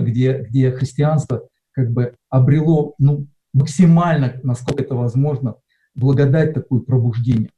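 Mellow-voiced man preaching, dull recording. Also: mid-range voice. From Russia. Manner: conversational, with many hesitations. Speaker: pastor. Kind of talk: sermon. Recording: dull lo-fi sound.

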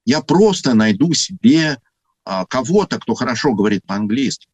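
A man with a husky voice giving an unscripted talk on church history, thoughtful and full of hesitations. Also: mid-range voice, sing-song, normal speed.

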